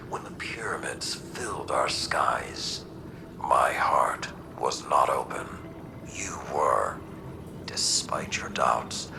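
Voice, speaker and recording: Blunt battle-worn mentor, very deep rumble, gravelly, half-whispered, grave game dialogue, background music. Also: male voice, full character voice. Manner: measured, hushed, solemn.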